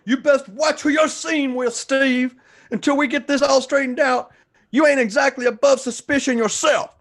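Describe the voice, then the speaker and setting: A man's voice: very high-pitched, steady tone, moderate pace, fairly bright tone, no echo, pitched above the performer's own voice. A man playing a suspicious, accusing neighbor in a radio play, talking in a folksy, bullying way.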